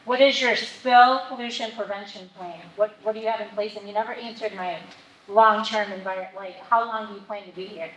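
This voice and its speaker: A woman's voice: medium pitch, fairly even intonation, fairly quick, fairly bright tone. A woman at a public meeting asking pointed, persistent questions of a company representative. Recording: echoey meeting hall, faint noise.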